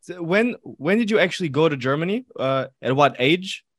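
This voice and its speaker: A man's voice: a little high, expressive, moderate pace, a bit bright, dry recording. Casual man co-hosting, dry close sound. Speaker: podcast co-host. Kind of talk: casual chat.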